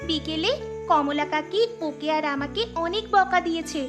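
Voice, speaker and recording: A woman's voice: high voice, normal speed, music in the background, close to an everyday voice. A woman voicing a meek, polite young village girl in a cartoon story, with a childlike manner. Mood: meek, sad.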